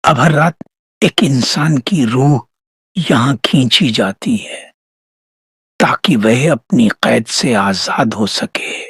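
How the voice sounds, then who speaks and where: A man playing a grave old village priest in a horror story, with a rough, husky voice and an ominous manner. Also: medium pitch, slow pace, a bit bright, dry recording, clean studio sound, full character voice. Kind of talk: dramatized horror story dialogue.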